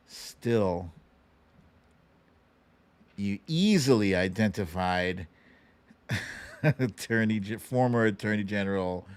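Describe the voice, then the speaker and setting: A man's voice: on the low side, darkish tone. A man hosting a casual livestream quiz, chatty and playful as he banters and calls out to viewers. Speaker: host of a live online show.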